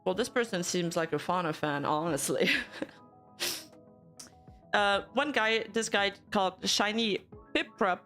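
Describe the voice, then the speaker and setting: A young Canadian woman streams casually, reacting to news and bantering with her chat in a middle-pitched voice. She laughs often and playfully denies whatever the chat teases her about. Soft music plays faintly behind her. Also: measured pace, long pauses. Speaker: VTuber commentary streamer.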